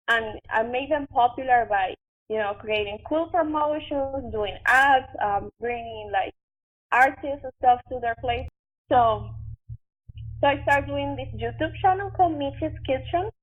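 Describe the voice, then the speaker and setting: Thin, band-limited audio carries a young woman's high voice with a Venezuelan Spanish accent. She talks casually as an interview guest, halting and restarting as she tells her story in a conversational way. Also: phone-quality sound. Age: young adult. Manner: halting, filler-heavy, conversational. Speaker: podcast guest, actress and chef.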